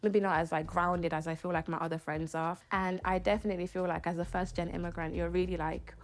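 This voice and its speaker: A woman from Nigeria speaks reflectively in a documentary interview. Her voice sits on the lower side for a woman. She searches for her words and pauses often as she thinks. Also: level tone. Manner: thoughtful, hesitant with fillers.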